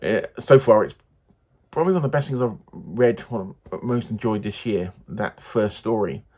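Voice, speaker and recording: A man giving a casual, unscripted book review in a hesitant, halting, rambling way. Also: lowish voice, a little mellow, slightly rough.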